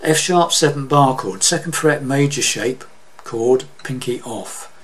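A man's voice: mid-range voice, a little slow. A man giving a casual guitar lesson in a small room, often checking in with "okay?" as he explains the chords. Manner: chatty, says "okay?" often, sings snippets over strumming. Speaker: guitar teacher. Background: his own acoustic guitar.

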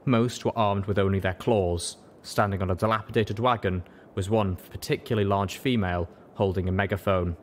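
A man's voice: fairly low pitch, steady tone, normal speed, few pauses, a little hiss or hum. A man reading scripted story narration as an audiobook narrator. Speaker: audiobook reader.

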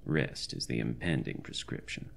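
A pompous old-time country doctor, acted by a man speaks in an extremely low, heavily creaking voice. His florid, wry diary delivery swoops expressively, made for a horror audio drama. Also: animated, normal speed, a little hiss or hum, heavily acted. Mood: musing, genteel.

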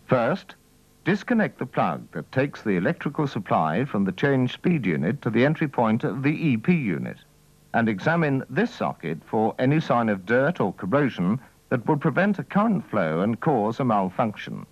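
A man's voice: medium pitch, very animated, normal speed, no room sound. Man narrating a dull-sounding old training film. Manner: methodical, formal delivery. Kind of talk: scripted instructional narration.

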